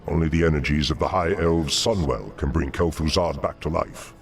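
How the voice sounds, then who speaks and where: An actor playing a sinister, commanding demon dreadlord in a fantasy game, slow and formal in his menace. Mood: stern, impatient. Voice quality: very deep, gravelly. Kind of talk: fantasy video game acting. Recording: music under the voice.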